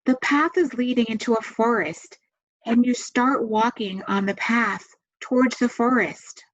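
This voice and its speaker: A woman leading a guided meditation, giving gentle, soothing instructions with long pauses. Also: fairly high pitch. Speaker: meditation guide and massage therapist. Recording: dull, muffled lo-fi sound.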